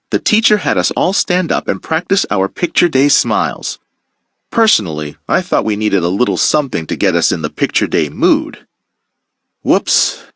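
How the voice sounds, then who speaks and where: A man teacher reading a children's picture book aloud with playful, expressive storytelling. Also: medium pitch, dry recording, clean studio sound.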